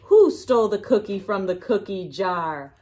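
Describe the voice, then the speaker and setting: A woman giving an expressive, sing-song picture-book read-aloud for young children, pausing to prompt her listeners. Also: medium pitch.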